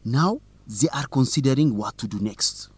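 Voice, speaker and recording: A man's voice: medium pitch, expressive, moderate pace, a little mellow, slightly rough, no echo. A young man playing a bold, curious student journalist in an educational radio drama, with an inquisitive, teasing manner. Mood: confident, teasing. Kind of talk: educational radio drama dialogue, simple clear English.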